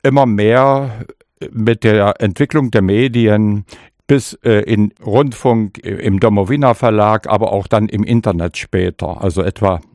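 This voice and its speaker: An older man with a slightly low voice, speaking very slowly and thoughtfully, pausing to search for words. His first language is Sorbian, and the dry, close sound leaves nothing of the room behind him. He sounds like a poet talking about his life and work. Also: darkish tone, no echo. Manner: slow, measured, reflective with hesitations. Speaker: poet, interview guest. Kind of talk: interview answers and author reading.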